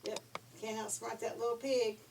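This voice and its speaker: A woman's voice: fairly high pitch, little pitch movement, moderate pace, gravelly, darkish tone. An older woman telling stories to children in an informal, folksy, conversational way. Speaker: storyteller for children. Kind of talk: informal storytelling. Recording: distant microphone, faint background noise.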